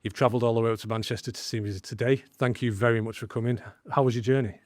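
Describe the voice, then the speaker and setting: A man's voice: medium pitch, steady tone, rapid-fire, darkish tone, no room sound. A man hosting a podcast, friendly and chatty with his guest. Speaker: podcast host. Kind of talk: interview hosting.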